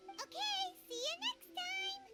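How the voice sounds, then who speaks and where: A woman voicing a tiny, chirpy fairy companion in a game, bubbly and excitable. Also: measured pace. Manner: bubbly, excitable, high and squeaky. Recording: game audio with loud music.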